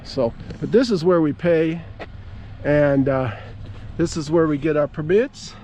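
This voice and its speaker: A man's voice: on the high side, measured pace, warm tone, slight background noise. A man giving a casual, plain-spoken walk-around vlog outdoors, rambling along in a folksy way. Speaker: amateur radio vlogger. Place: outdoors at a campground.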